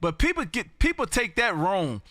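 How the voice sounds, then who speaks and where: An American man hosting a live amateur radio session, chatting and calling for contacts in an animated, folksy, booming way. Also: normal speed, dry recording, studio quality.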